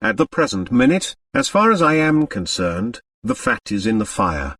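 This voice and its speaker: A man voicing a nonchalant, wry gentleman in an audiobook, talking casually. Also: fairly low pitch, big pitch swings, moderate pace, dry recording, studio quality, recorded from a distance. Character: nonchalant, wry gentleman in money and marriage trouble.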